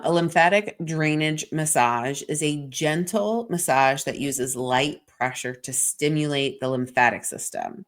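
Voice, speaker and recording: A woman with a deep, low voice talks loosely on a podcast, piling up fillers and dropping swear words as she rambles through her week. She sounds a bit congested, and her delivery is unguarded and conversational. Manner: rambling and frank, swears often, heavy "like" filler. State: congested from a sinus infection. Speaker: podcast co-host. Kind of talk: casual chat.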